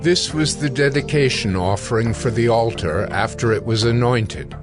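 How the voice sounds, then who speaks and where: A man narrating dramatized scripture in a measured, solemn manner. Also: medium pitch. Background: music.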